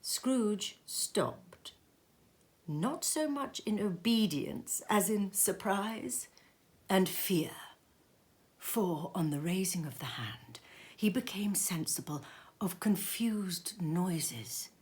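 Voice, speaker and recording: A woman reading a classic story aloud as expressive narration, with dramatic, deliberate and emphatic phrasing. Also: medium pitch. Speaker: storybook reader.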